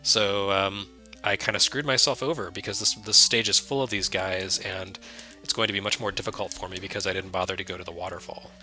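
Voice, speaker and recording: A soft-spoken man with a low, very bright and crisp voice talks through a retro game in a live stream. He rambles and restarts sentences, often laughing at his own choices. Faint chiptune music plays under his voice. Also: fairly even intonation, a little fast. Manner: rambling, self-deprecating, many fillers and restarts. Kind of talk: casual live-stream commentary.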